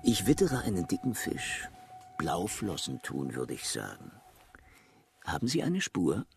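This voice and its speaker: A man's voice: low voice, huge pitch swings, unhurried, vocal fry, soft background music, full character voice. An actor playing a cocky, sarcastic male journalist in a radio crime drama, pushy and self-important. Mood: excited, accusing.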